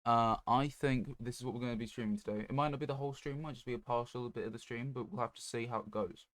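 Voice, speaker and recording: A man chatting casually on a gaming livestream in a low-key, rambling, hesitant way. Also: steady tone, quick pace, fairly bright tone, no echo. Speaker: video game streamer.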